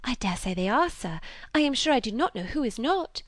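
A woman voicing a composed, cheerful young lady in an audiobook, a dutiful daughter who is polite and gently persuasive. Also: fairly high pitch, sing-song, fairly quick, no echo, clean studio sound, voice pushed up. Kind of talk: audiobook character reading of a classic novel.

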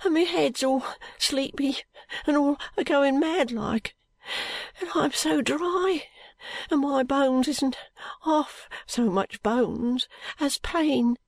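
Woman voicing a high-pitched, hoarse sick boy. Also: no room sound. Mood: feverish, suffering. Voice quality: hoarse, muttering. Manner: slow, drawling uneducated dialect. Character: sick, frightened, stubborn poor London boy, feverish. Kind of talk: audiobook character voice.

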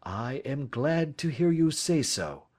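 Man voicing a precise, higher-pitched gentleman detective. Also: sing-song. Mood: grave, reassuring. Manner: crisp, deliberate, gently grave. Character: calm, precise, authoritative male detective. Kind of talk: audiobook character acting.

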